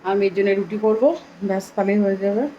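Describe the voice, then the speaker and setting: A woman's voice: medium pitch, flat delivery, racing, highs cut off, slight background noise. A young woman, chatting casually as she narrates a daily home vlog. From India, Bengal region. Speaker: vlogger, mother of a small child.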